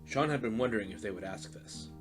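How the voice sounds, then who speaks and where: A man's voice: fairly low pitch, expressive, fast, music in the background. A man narrating a scripted story in the third person.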